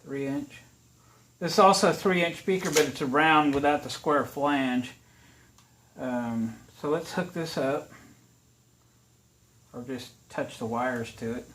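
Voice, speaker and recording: A man's voice: medium pitch, a little slow, pauses often, fairly bright tone, rough recording. An American man hosting a repair how-to video, talking in a casual, folksy way and thinking aloud as he demonstrates. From United States. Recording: clear background noise.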